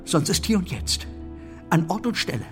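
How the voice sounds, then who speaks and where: A man acting a forceful, scornful revolutionary politician in a radio drama, emphatic and rhetorical. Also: sits high, very animated, normal speed, soft background music. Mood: menacing. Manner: rhetorical, emphatic, swinging from hushed to loud.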